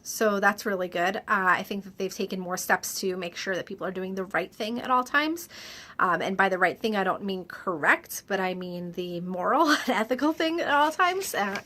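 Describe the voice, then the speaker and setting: Bright-voiced stuffy woman chatting. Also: mid-range voice, moderate pace. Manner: reads viewer questions, answers chatty with many ums. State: stuffy, slightly nasal. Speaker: direct-sales clothing consultant. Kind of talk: casual Q&A vlog.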